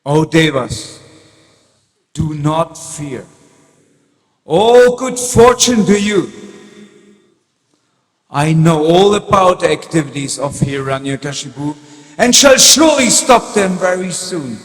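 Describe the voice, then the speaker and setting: A man playing a majestic supreme god, calmly reassuring his followers in a devotional dramatic reading. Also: a little high, slow, long pauses. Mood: majestic reassurance. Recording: echoey hall with long reverb.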